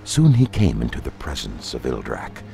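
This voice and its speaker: Extremely deep-voiced male fantasy narrator. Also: huge pitch swings, gravelly, warm tone, soft background music. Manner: solemn, measured, deliberate. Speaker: audiobook narrator. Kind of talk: scripted dramatic narration of dark fantasy fiction.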